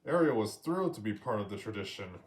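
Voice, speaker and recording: A man's voice: fairly low pitch, sing-song, fast, poor audio. A man narrating a children's story aloud as a storyteller.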